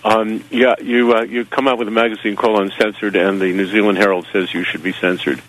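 A man who publishes a magazine, guesting on a radio interview and rambling through halting answers full of fillers and wry asides. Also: on the low side, level tone, a bit bright, low-quality recording. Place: New Zealand.

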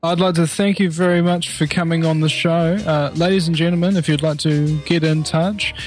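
A man's voice: on the high side, fairly even intonation, normal speed, faint music behind. A man hosting a talk radio show, rambling casually with many fillers. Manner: rambling, filler-heavy, self-deprecating.

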